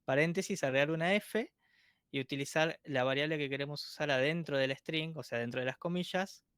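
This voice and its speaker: A man's voice: fairly high pitch, a little fast, fairly bright tone, clean tone, no room sound, clean studio sound. A man, co-teaching an online workshop in a casual, chatty way, laughing easily. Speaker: co-instructor.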